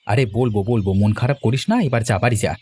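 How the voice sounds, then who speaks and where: A man's voice: medium pitch, steady tone, fast, darkish tone, a little hiss or hum, lightly acted. A man voicing a helpful young village man in a cartoon, friendly, concerned and reassuring. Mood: encouraging. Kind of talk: cartoon voice acting.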